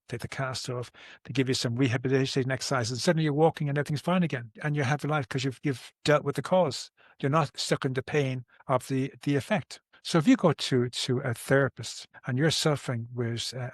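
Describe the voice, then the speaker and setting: A man's voice: mid-range voice, no room sound, studio quality. A man in his sixties with an Irish accent, a therapist explaining his approach in conversation, earnest and often restarting his words. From Ireland. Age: 60s. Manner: earnest, repeats and restarts words, gives everyday examples.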